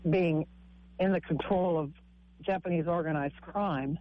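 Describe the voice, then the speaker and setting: A woman with a husky voice, a comic and author telling her story haltingly in a radio interview, with frequent restarts. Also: dull audio. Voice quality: husky, low-sounding voice. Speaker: guest author and stand-up comic.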